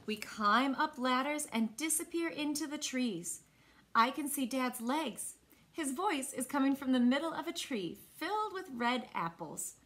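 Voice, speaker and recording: A woman in her thirties with an American accent, reading a picture book aloud to children with expressive, clearly phrased delivery. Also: high voice. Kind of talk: children's read-aloud storytelling. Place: small indoor room.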